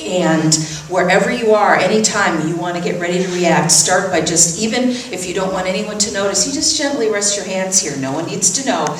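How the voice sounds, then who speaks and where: A woman minister leading a breathing exercise during a church service, chatty and self-deprecating with gentle instructions. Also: medium pitch, brisk, slight background noise. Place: church sanctuary. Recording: roomy hall, voice from far off, faint ambience.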